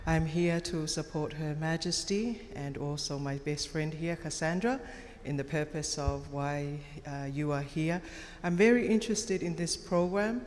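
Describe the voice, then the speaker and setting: A woman's voice: low-pitched, unhurried, darkish tone. Casting: woman, courteous meeting speech, reverberant hall with some noise. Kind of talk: polite introduction to a meeting. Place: community hall. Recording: echoey hall, background noise.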